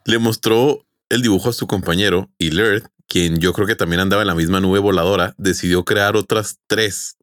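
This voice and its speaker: A man's voice: low-pitched, fairly even intonation, normal speed, few pauses, fairly bright tone, no echo, clean studio sound. A man with a Mexican accent, a podcast host telling stories to friends in a jokey, colloquial way. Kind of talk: casual podcast storytelling with friends.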